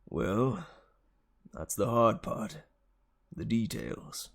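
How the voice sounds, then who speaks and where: A grave old centaur teacher from a fantasy storybook, voiced by a man. He sounds weary and stern, measured in his solemn delivery, with a creaky, slow voice. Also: lowish voice, exaggerated voice. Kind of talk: dramatic children's audiobook reading.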